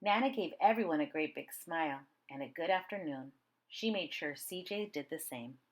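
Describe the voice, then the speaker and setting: Lady reading a kids book out loud, lowish voice, really animated narration. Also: normal speed, slight background noise. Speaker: storybook reader. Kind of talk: expressive children's read-aloud narration.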